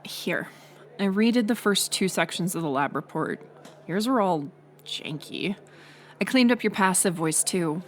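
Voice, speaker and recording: A young woman playing a mocking college bully in an audio roleplay, sarcastic and teasing, with a hidden softer side. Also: on the low side, lively intonation, measured pace, soft background music. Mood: smug, sarcastic. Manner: sarcastic, teasing, scoffing with sighs and breathy asides. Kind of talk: audio roleplay acting, intimate one-sided dialogue.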